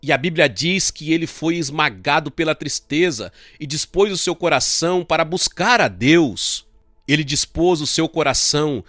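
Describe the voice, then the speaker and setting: Brazilian guy doing a voice-over of a sermon testimony, slightly high voice, dry sound no room echo. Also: fairly bright tone. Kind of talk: dubbed sermon, first-person testimony.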